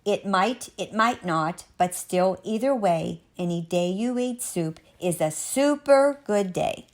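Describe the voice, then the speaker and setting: Unhurried woman reading a children's story. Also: mid-range voice, lively intonation. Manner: measured, dramatic, sing-song emphasis. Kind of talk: expressive rhyming story read-aloud.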